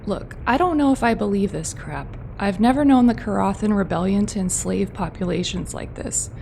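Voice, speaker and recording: A skeptical, sarcastic female commando, voiced by a woman. Her voice has a gravelly edge and sits in the middle of the range. A faint hum runs behind her. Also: mid-range voice, moderate pace, a little mellow, lightly acted. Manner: dry, sarcastic.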